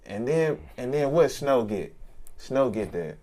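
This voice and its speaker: A young man co-hosting a TV review, chatting casually in slangy, animated talk and acting out characters' lines. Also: medium pitch, measured pace. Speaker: co-host of a TV review show. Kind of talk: casual chat.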